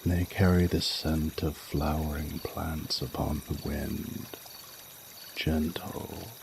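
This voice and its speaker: A man playing an ancient, regal male dragon in a romantic audio roleplay, speaking formally and seductively with deliberate pauses. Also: extremely low pitch, vocal fry, background noise, exaggerated voice. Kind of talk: romantic audio roleplay, intimate monologue to the listener.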